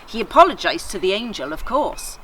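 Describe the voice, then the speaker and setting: Woman voicing a sardonic, animated talking donkey. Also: medium pitch, a little fast, a little hiss or hum, low-quality recording. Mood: wry, amused. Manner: wry, chatty, expressive storytelling. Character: sardonic, aggrieved talking female donkey telling her tale. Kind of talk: children's story read aloud.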